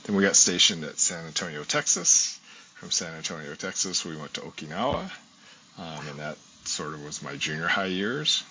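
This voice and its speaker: Very deep-voiced man in his sixties. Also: expressive, normal speed, pushed and bright. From United States. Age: 60s. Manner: reflective storytelling, many ums. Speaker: guest scholar. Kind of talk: interview conversation. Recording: dull lo-fi audio, faint hiss.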